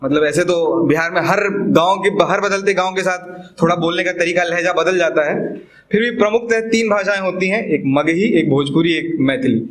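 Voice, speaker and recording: A man's voice: high voice, normal speed, fairly bright tone, poor audio. A man from Bihar with a Bihari accent performing spoken-word poetry live, emphatic and repeating lines for effect, with chatty asides. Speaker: poet performing own poems.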